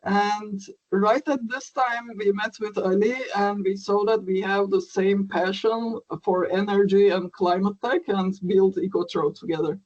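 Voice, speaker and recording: A woman, a tech executive, introducing her background in a measured, orderly way during an interview. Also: mid-range voice, fairly even intonation, a little mellow, highs cut off. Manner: measured, resume-like self-introduction. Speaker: startup CTO guest. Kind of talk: business interview answers.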